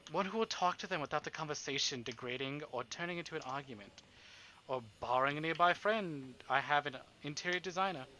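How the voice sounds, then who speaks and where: A man's voice: on the high side, quick pace, sharp tone. A man live streaming, reading a story aloud and adding playful, exclamatory asides to his chat. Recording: music behind the voice.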